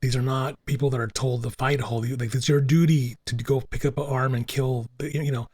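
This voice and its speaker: A man's voice: mid-range voice, steady tone, brisk, a little mellow, breathy edge. A man whose first languages were Italian and Spanish, talking earnestly on a podcast and repeating himself for emphasis. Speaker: podcast co-host. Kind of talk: casual podcast chat.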